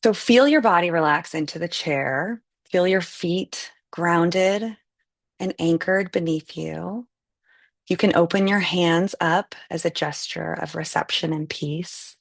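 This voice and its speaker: A woman with a lowish voice and plenty of vocal fry talks a group through a meditation. Her instructions come slow and gentle, and the sound is dry and close, with no room around it. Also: no echo. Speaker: meditation guide. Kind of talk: live guided meditation.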